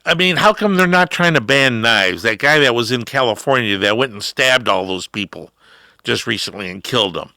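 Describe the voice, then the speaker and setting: A middle-aged man hosting a talk show, delivering a rambling opinion monologue with drawn-out words and emphatic rises. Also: medium pitch, animated, sharp tone, dry recording. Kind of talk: ranting political talk.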